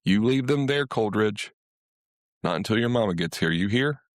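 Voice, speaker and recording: A man's voice: on the low side, animated, moderate pace, no echo, studio quality, pitched above the performer's own voice, lightly acted. A man voicing a stern, loving old grandmother in a story. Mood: scolding.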